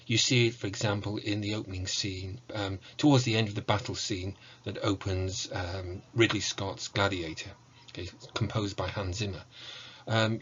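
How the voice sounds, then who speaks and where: A man giving an academic lecture in a measured, explanatory way, leaning on key words. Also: on the low side, breathy edge, lo-fi sound. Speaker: university lecturer. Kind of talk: academic lecture with slides on video game music.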